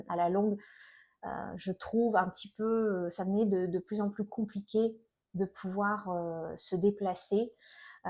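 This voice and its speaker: Mellow middle-aged French woman, talking haltingly. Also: fairly low pitch. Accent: French from France. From France. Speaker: self-employed energy healer and coach, video host. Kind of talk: personal vlog, informal talk to viewers.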